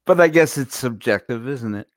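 A man's voice: mid-range voice, animated, moderate pace, no echo, studio quality. A man co-hosting a radio show in casual conversation. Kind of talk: casual chat.

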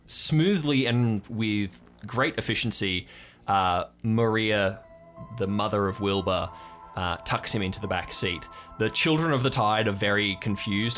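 A man with a fairly low voice acts as game master for an improvised audio drama. He builds scenes aloud in descriptive narration, with faint music playing underneath. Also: a bit bright.

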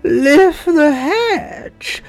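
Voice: high-pitched, animated, drawn-out words, a little mellow, soft background music, voice pushed up, full character voice. A storyteller voicing a frail old grandmother with a thin, weak, quavering voice.

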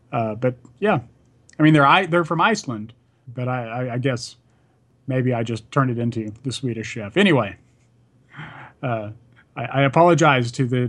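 A young man hosting a music radio podcast, introducing songs in a casual, rambling way and laughing at his own stumbles. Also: mid-range voice. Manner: self-deprecating, rambling, stumbles over words.

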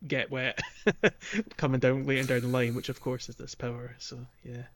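A man hosting a podcast, talking casually through a game walkthrough in a halting, explanatory way. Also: medium pitch, steady tone, a bit bright, a little hiss or hum. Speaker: podcast host.

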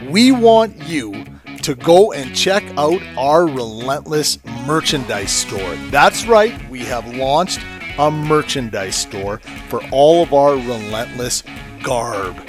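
A man in his fifties with a Canadian accent and a medium-pitched voice. He speaks as a podcast host, in a casual, conversational style. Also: sing-song, slow pace, over music. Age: 50s. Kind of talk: casual interview chat.

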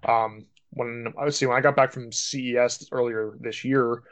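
A man's voice: medium pitch, fairly even intonation, moderate pace, a bit bright. A man chatting informally as a podcast contributor, explaining things with frequent fillers. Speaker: podcast contributor, tech writer. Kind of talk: casual explanatory chat.

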